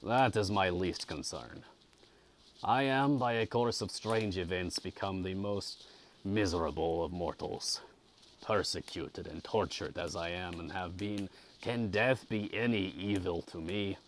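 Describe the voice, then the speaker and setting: Guy reading as a miserable tormented man in a gothic novel, low voice, formal and gloomy, noisy background. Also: a little slow. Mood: despairing. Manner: formal, mournful literary phrasing.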